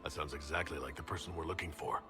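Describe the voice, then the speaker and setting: A man's voice: rumbling low, fairly even intonation, fast talker, over music. A man playing a courteous but wary lord in a fantasy game, speaking politely and with self-assurance.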